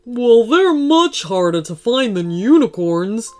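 A woman voicing a big, grumbly male yeti in a children's story, speaking slowly and drawing out the words. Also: a little high, sing-song, darkish tone. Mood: doubtful. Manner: slow, drawn-out delivery.

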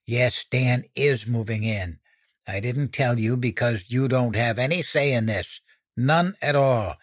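A man's voice: medium pitch, unhurried, dry recording, studio quality, lightly acted. A man voicing a furious, domineering mother in a dramatic audiobook, stern, indignant and emphatic as the character scolds a child. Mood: menacing, stern.

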